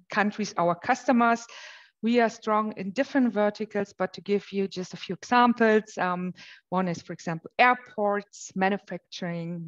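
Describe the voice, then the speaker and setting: A woman's voice: medium pitch, muffled recording. German woman presenting on a video call. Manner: measured, with frequent "um" pauses. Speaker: marketing manager presenting. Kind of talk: webinar presentation. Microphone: webcam on a video call.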